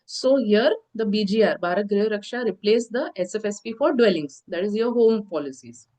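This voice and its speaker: A woman giving an online insurance lecture, sounding nasal from a cold, explaining steadily with filler pauses. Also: medium pitch, lively intonation, dark tone. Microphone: video call.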